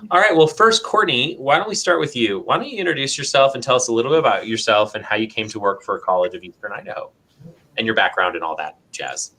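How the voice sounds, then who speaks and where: A man's voice: medium pitch, lively intonation, fast, sharp tone. A man hosting a college talk show, chatty and upbeat, laughing easily as he introduces guests. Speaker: host. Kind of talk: campus talk show, casual interview.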